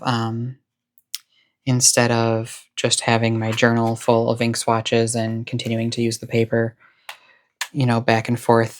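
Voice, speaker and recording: A person chatting casually as the host of a cozy hobby vlog, with a soothing voice and a chill, rambling, encouraging manner. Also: mid-range voice. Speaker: host of a planner and stationery channel. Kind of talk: casual cozy chat to camera.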